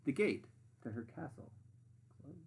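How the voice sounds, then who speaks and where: Very animated, husky woman reading aloud. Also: rumbling low, poor audio. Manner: dramatic pauses, addressing young listeners. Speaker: storybook reader. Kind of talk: expressive read-aloud for children with asides.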